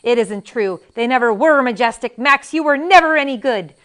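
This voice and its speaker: A woman voicing a tiny ancient wife who scolds in a shrill, furious way. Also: lively intonation, normal speed, fairly bright tone. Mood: scornful.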